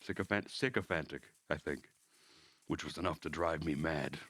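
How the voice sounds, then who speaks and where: A man's voice: extremely low pitch, normal speed, a bit bright, dry recording. A man streaming a visual novel, reading it aloud as narrator with wry, casual asides.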